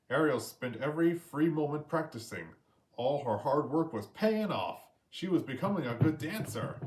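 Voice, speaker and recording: A man's voice: mid-range voice, low-quality recording. A man narrating a children's story aloud as a storyteller.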